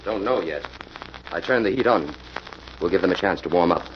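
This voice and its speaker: An actor playing a brisk, level-headed and commanding investigator in a 1940s radio mystery. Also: male voice, low-pitched, animated. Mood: businesslike. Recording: dull, noisy old radio recording.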